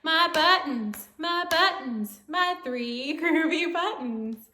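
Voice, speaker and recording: Female children's read-aloud narrator, very unhurried, playful and exaggerated, sing-song delivery, recorded in an echoey room. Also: high-pitched. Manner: animated, playful, exaggerated exclamations and questions to young listeners. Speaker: storyteller reading a picture book to children.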